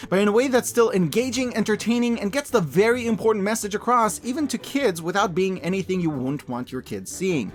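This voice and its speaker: A man giving scripted review commentary as a host, with an emphatic, playful, colloquial delivery. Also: a little high. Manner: emphatic, playful, colloquial, with dramatic repeats for effect. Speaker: host of a yearly media review show.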